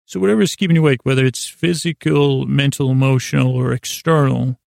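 A man with a gravelly voice hosting a bedtime podcast, talking in a hesitant, meandering way, stumbling over words and drifting off into tangents to lull listeners to sleep. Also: medium pitch, steady tone, brisk, a little mellow, no echo, studio quality. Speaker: podcast host. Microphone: close studio mic.

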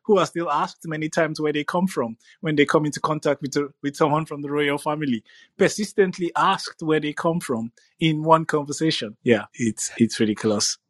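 A man's voice: on the high side, normal speed, dry recording. A man speaking as a guest in a panel discussion, earnest and repeating words as he builds his points. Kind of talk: opinionated discussion.

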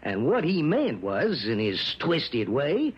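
A man's voice: on the high side, wildly swooping pitch, husky, full character voice. An actor in an old-time radio drama playing a worried, rambling small-town mayor and newspaperman with a rural American accent. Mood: anxious, insistent. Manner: rambling, folksy, emphatic drawn-out storytelling. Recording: muffled old radio recording, faint noise.

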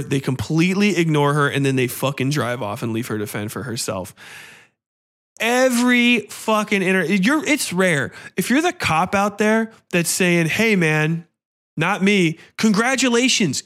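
Male podcast host, slightly high voice, casual film commentary, blunt, sarcastic and foul-mouthed. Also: a bit bright. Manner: profane, blunt, ranting.

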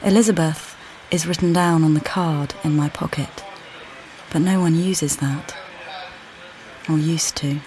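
An actress playing a weary, displaced mother who calmly guides the listener in a walking play, speaking plainly and directly to them. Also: deep voice, unhurried, poor audio. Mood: reflective. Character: displaced mother, weary and uncertain, far from home. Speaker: audio guide narrator. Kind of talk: immersive walking-play narration.

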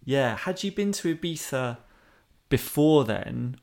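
A man's voice: medium pitch, lively intonation, slow, gravelly, darkish tone. A man interviewing a guest in a casual way, asking long, winding questions.

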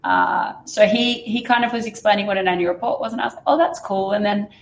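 Hoarse, gravelly Australian woman. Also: mid-range voice, fairly even intonation, fast talker, echoey room. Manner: chatty, laughs often, self-deprecating. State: croaky, hoarse voice. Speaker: fund manager guest. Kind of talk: casual interview chat.

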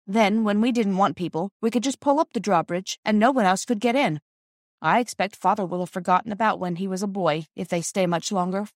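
A woman's voice: mid-range voice, brisk, no room sound, studio quality, lightly acted. A woman voicing an earnest, caring Edwardian girl, a proper and slightly priggish elder sister, in a storybook reading. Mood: wistful.

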